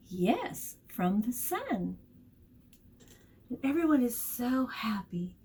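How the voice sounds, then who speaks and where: A woman's voice: a little high, darkish tone, breathy edge. A woman teacher leading a storytime and vocabulary lesson for young children, speaking expressively and encouragingly, with questions to her listeners and key words stretched out. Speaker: preschool teacher reading a picture book aloud.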